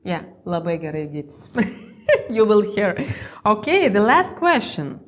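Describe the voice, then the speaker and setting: A woman with a Lithuanian accent hosting a casual interview in English, speaking haltingly as she searches for words. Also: medium pitch, big pitch swings. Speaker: interview host.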